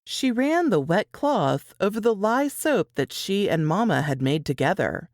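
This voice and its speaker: A woman reading scripted fiction aloud as narrator, in an expressive, deliberate, dramatic style. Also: medium pitch, no echo, clean studio sound.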